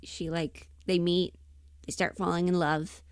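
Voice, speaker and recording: A woman chatting casually and emphatically on a livestream, rambling in an animated, opinionated way. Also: lowish voice, a little slow, clean tone, dry recording, studio quality. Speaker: livestream host and voice performer.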